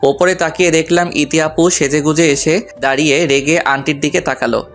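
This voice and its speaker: Male narrator, slightly high voice, playful colloquial romantic storytelling over light music. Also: steady tone, moderate pace. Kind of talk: first-person romantic story narration with acted dialogue.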